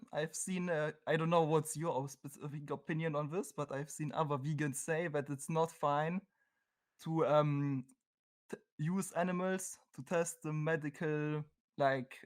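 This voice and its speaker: A man's voice: a little high, steady tone, measured pace. A man from Germany with a German accent, a non-native English speaker debating on a call, hesitant and searching for words. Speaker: caller.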